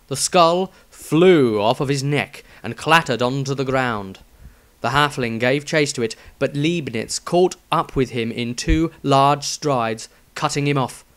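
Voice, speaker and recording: A man's voice: mid-range voice, a bit bright. A man reading a scripted fantasy adventure aloud as a dramatic storyteller.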